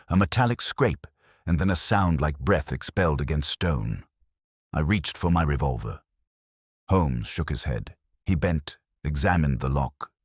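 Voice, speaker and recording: A man reading a scripted first-person mystery story as an audiobook narrator, in a measured, solemn, literary manner. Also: a bass voice, breathy edge, no echo, clean studio sound.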